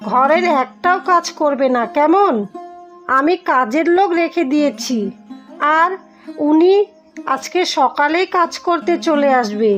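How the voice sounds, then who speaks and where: Cartoon mother-in-law, kindly and doting, voiced by a woman; high-pitched. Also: expressive, a little slow, fairly bright tone, highs cut off, soft background music. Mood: joyful. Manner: affectionate, fussing.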